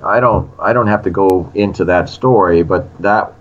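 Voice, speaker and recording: A man's voice: low-pitched, normal speed, muffled recording. A man in his sixties, a screenwriter in an interview, telling a story animatedly and acting out quotes. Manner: animated, acts out quotes in other voices. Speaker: screenwriter guest.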